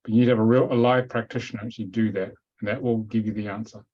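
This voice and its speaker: A man's voice: on the low side, fairly even intonation, very fast, a little mellow. A man hosting a webinar Q&A, answering questions in a direct, matter-of-fact way over a video call. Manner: direct, matter-of-fact, repeats points firmly. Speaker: webinar host and teacher. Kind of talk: live webinar Q&A. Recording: dull lo-fi call audio.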